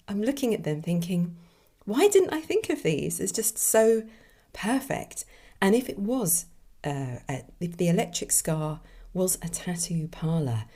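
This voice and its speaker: A woman with a low, breathy voice and a mellow tone hosts in a musing, expressive way. Her intonation moves widely as she chats casually or reads stories at an unhurried pace. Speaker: podcast host and author. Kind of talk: casual solo talk with expressive story reading.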